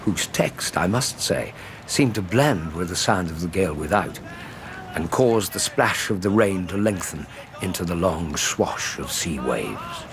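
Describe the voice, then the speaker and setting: A Victorian English gentleman recounting a tale for radio drama. His voice is very deep, his phrasing eloquent and measured. A faint wash of stormy ambience sits behind him. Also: lively intonation, background noise. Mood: measured, evocative. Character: Doctor Watson, gentlemanly Victorian male narrator and loyal friend. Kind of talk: dramatic literary radio narration.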